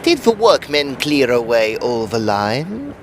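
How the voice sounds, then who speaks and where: A man playing a shrewd, eccentric Edwardian occult detective in an audio drama, creaky-voiced, deliberate and theatrical. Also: mid-range voice, huge pitch swings, slight background noise. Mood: musing. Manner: deliberate, theatrical, musing then exclaiming.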